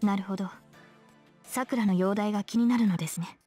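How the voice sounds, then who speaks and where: A woman's voice: mid-range voice, racing, a little mellow, soft background music, lightly acted. A woman voicing a dignified, mildly stubborn young knight girl in a visual novel, polite and composed. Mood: questioning. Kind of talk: Japanese visual novel voice acting.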